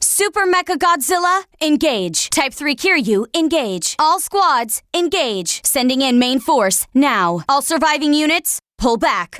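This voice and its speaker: A high, female voice plays a military operations officer in a video game. She delivers announcements in a clipped, formal and urgent way, with firm command. The sound is close and dry, free of room reflections. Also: a bit bright, no echo. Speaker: game voice actor.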